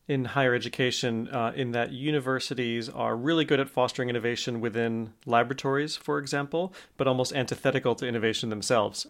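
A man hosting a conversational interview, speaking in a thoughtful, affirming manner. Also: medium pitch. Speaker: interview host.

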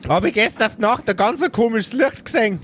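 Guy doing a chatty bavarian school kid, high pitched, stammery, naive, tabletop audio play, slight noise. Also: expressive, a little fast, fairly bright tone. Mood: earnest, flustered. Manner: rambling, stammering storyteller.

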